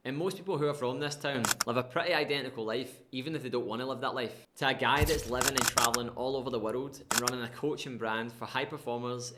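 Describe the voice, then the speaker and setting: A man's voice: mid-range voice, noisy background. A young man with a West Coast Scottish accent, candidly telling his own life story to the camera in a chatty, rambling way. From Scotland. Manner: candid and chatty, with frequent fillers and restarts. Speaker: coaching brand founder. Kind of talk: personal story told to camera.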